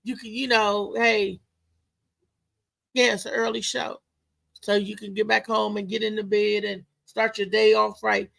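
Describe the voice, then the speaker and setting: A woman hosting a casual live show as a comedian, talking in a loud, lively, chatty way with lots of exclamations. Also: medium pitch, a little slow, creaky. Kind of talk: casual live-stream chat and promotion.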